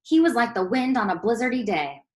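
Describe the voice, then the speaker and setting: Storybook schoolgirl, played by a woman: excitable, wide-eyed, encouraging, emphatic, pitched a bit high. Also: brisk. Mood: excited. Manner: eager, emphatic.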